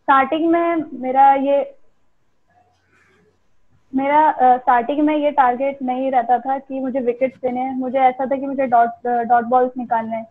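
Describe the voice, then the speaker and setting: A young woman speaks in a high, fairly even voice. She answers interview questions haltingly, pausing often as she thinks. The sound is narrow and muffled, like an online call. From India. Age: early 20s. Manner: hesitant, halting with frequent pauses. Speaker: interviewed cricketer. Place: remote call on social media live. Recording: muffled, band-limited video call audio.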